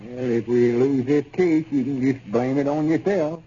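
A man's voice: mid-range voice, moderate pace, dark tone, close to an everyday voice. An actor playing an exasperated, folksy storekeeper with a rural Arkansas Southern accent, fussing at his partner in a 1930s radio serial. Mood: exasperated scolding. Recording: dull, noisy 1930s radio recording.

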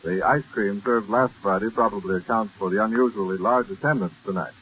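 A man's voice: fairly low pitch, fairly quick, a bit bright, a little hiss or hum. A man working as a radio announcer, reading scripted narration and sponsor messages in 1930s broadcast style. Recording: dull 1930s radio broadcast, faint music.

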